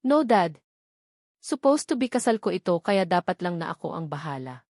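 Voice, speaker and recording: A woman's voice: lowish voice, big pitch swings, normal speed, darkish tone, no echo, clean studio sound. A woman with a Filipino accent voicing a heartbroken yet determined young bride in a dramatic audiobook. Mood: tearful, defiant. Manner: tearful, determined. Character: heartbroken but resolute jilted young bride. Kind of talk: dramatic audiobook character dialogue.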